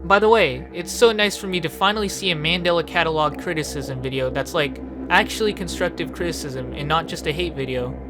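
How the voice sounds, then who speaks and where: A video essayist narrates in a medium-pitched voice. The delivery is informal and opinionated, with casual swearing. Music plays behind the voice. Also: fairly quick, hardly any pauses. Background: background music.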